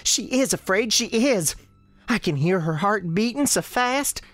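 A woman's voice: mid-range voice, expressive, unhurried, fairly bright tone, dry recording, soft background music. A woman playing a frightened ghost girl, an imaginary friend, in a radio drama, pleading with breathless urgency. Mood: desperate pleading.

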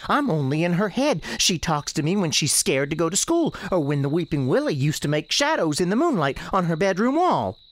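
A performer voicing an angry, defiant young girl with a Southern US accent and sharp, folksy speech, in an audio drama. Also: medium pitch, sing-song, fairly quick, few pauses, dry recording, voice pushed up, lightly acted.

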